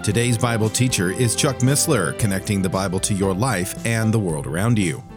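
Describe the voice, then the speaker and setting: Low-voiced announcer over guitar music. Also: male voice, normal speed. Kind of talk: scripted radio programme introduction.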